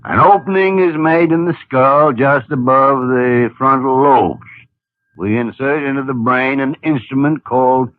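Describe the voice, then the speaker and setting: An actor playing a gruff, blustering older senior doctor in a 1950s radio drama. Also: male voice, mid-range voice, measured pace, no room sound, natural voice. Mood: explaining. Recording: tinny lo-fi 1950 radio broadcast.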